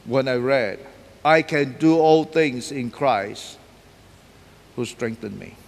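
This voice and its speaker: Middle-aged man, pastor, slow emphatic sermon delivery, big hall reverb. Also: mid-range voice, creaky. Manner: emphatic, repeats key words, pacing and gesturing widely. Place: large church stage decorated for Christmas.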